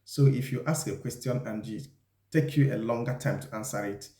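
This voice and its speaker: A man presenting an instructional talk, explaining things deliberately and speaking straight to the viewer. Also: mid-range voice, warm tone. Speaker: video presenter giving job interview advice. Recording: echoey, roomy sound.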